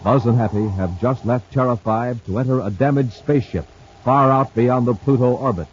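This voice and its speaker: A male radio announcer reading ads and promos in a booming, salesy, emphatic 1950s style. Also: moderate pace, darkish tone. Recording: muffled old radio transcription with faint hiss.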